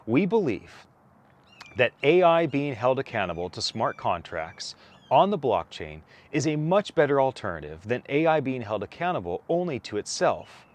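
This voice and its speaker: A man reads a formal written paper aloud. His voice sits at a middle pitch and moves up and down in an animated way. The delivery is scripted, with deliberate enunciation. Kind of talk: read-aloud scripted narration.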